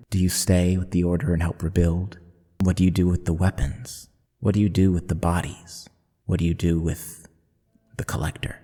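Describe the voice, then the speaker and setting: A man serving as game master, narrating a tabletop story in a solemn, deliberate, dramatic way. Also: deep voice, warm tone. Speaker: game master of an actual play podcast. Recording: long reverb under music.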